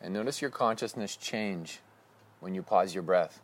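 A man leading a guided breathing meditation with slow, calm, instructive cues. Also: low-pitched.

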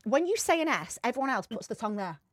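A woman in her thirties with a slight lisp chats bluntly and jokily, her pitch leaping all over and breaking into loud laughs. She speaks a little high, recorded dry and close with no room sound. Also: sing-song, quick pace, no room sound. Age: 30s. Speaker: podcast co-host. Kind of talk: casual chat.

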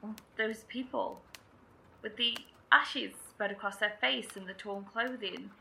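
Creaky, piercing wary woman survivor. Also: mid-range voice, fairly even intonation, measured pace, sharp tone, full character voice. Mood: incredulous, uneasy. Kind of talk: horror audio drama acting. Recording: roomy, distant field recording with ambient noise.

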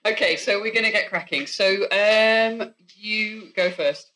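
A woman's voice: mid-range voice, a little slow, bright, forceful tone. A woman hosting a live podcast recording, hesitant and joking, with many restarts. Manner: hesitant, stop-start, joking. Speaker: podcast host. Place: live event room. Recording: echoey room.

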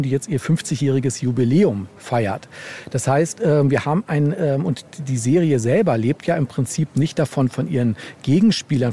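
A man answering as a guest author in a podcast interview, speaking conversationally and haltingly, often tagging phrases with a short questioning word. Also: a little high, warm tone, breathy edge. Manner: halting, ends phrases with tag "ne?".

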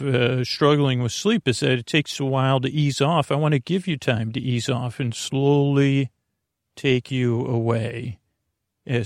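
A man with a creaky voice hosting a bedtime podcast, rambling informally and soothingly, stammering and losing his thread as he goes. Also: mid-range voice, no room sound, studio quality. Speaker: podcast host.

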